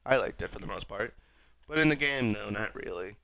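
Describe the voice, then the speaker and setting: A young man with a raspy voice, sick, rambling wryly through casual gameplay commentary as a streamer. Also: on the low side, expressive, brisk, pushed and bright, no echo. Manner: rambling, wry, self-mocking. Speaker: gaming streamer.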